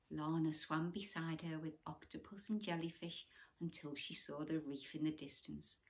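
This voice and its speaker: A woman's voice: low-pitched, fairly even intonation, a little slow. A woman reading a children's bedtime story aloud expressively, with little asides to young listeners.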